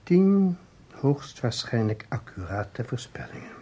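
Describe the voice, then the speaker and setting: A man playing a cool, exacting investigator in a radio drama, speaking in a measured, precise way with a husky tone. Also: medium pitch, big pitch swings, dark tone, highs cut off. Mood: probing. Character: probing, methodical male investigator, cool and exacting. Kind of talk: Dutch radio drama acting.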